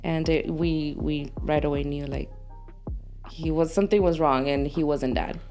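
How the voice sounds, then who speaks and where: Low-voiced, creaky bilingual woman. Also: fairly even intonation, moderate pace, dark tone, soft background music. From Los Angeles, United States. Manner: switches between English and Spanish. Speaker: podcast guest. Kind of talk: candid conversational interview, telling life story.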